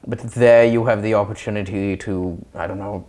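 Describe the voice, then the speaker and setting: A young man with a slightly low-pitched voice speaks as an interview guest. He is from Sri Lanka. He phrases his reflections in a measured, thoughtful and articulate way. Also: fairly even intonation, a little mellow. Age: young adult.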